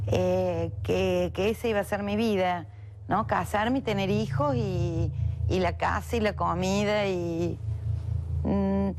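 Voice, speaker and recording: Soft low Argentine woman reminiscing. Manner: thoughtful, with pauses and fillers. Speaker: interviewee. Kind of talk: reflective interview. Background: music.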